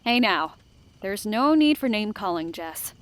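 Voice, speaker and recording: A woman's voice: mid-range voice, very animated, a little slow, a bit bright. A woman playing a level-headed young female camp counselor in an audio drama, a polite, self-assured peacemaker. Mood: gently scolding. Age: young adult.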